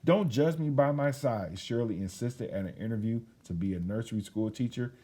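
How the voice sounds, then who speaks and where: A man reading a children's picture book aloud in an expressive, emphatic storytelling manner. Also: mid-range voice, moderate pace. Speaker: children's read-aloud host.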